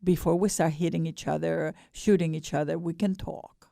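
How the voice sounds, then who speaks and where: A mature woman with an Ecuadorian Spanish accent gives a radio interview in a low, gravelly voice. She speaks earnestly, often stopping and restarting, and the sound is dry and close. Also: steady tone, normal speed, dark tone, no echo. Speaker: guest, board director of a peace nonprofit.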